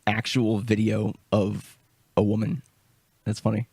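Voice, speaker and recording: A man with a gravelly, husky voice, chatting casually as a co-host on a video game podcast. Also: on the low side, level tone, measured pace, darkish tone, no room sound.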